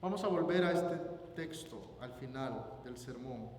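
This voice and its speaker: A man with a Guatemalan Spanish accent preaches a sermon, phrasing it deliberately and emphatically and repeating phrases for weight. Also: medium pitch, animated, darkish tone. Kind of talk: sermon with scripture reading and personal anecdote. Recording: roomy echoing hall, background noise.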